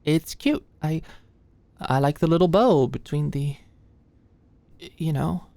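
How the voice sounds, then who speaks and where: A woman's voice: deep voice, takes it slowly, dry recording. A young woman playing an anxious, awkward female medical student in an intimate ASMR roleplay, speaking hesitantly and attentively. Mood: flustered, embarrassed. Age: young adult. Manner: nervous, hesitant, stammering, softly attentive. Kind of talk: ASMR roleplay, intimate scripted one-sided dialogue. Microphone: close studio-style mic, dry.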